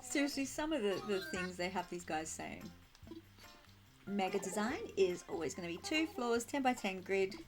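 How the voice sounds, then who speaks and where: A woman with a slightly croaky throat chatting casually and bubbly to viewers while live streaming a game, affectionate and quick to giggle. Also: medium pitch, lively intonation. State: croaky throat, needs clearing. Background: music.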